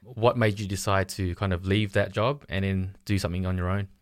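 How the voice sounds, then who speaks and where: Male host, lowish voice, halting questions, dry close sound. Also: steady tone, no room sound. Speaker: podcast host. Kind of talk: interview questions.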